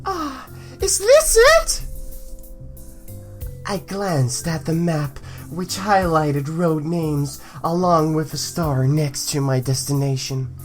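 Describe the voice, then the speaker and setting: Animated gaming host with background music. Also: female voice, low voice, slow pace. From Europe, near Belgium. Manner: excitable in commentary, swears freely. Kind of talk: let's play commentary and reading game text aloud.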